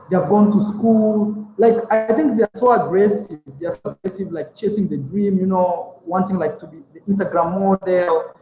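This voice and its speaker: A man's voice: sits high, warm tone, slight background noise. A young man, a guest telling stories in a casual interview, rambling with lots of fillers and restarts. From Kenya. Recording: echoey room with long reverb.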